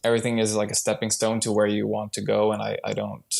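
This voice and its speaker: Man, from Sweden, podcast guest, gravelly fry, flat intonation, casual talk, halting restarts. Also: lowish voice. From born in Sweden, partly raised in Brazil. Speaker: podcast guest, startup founder. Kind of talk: casual conversational interview.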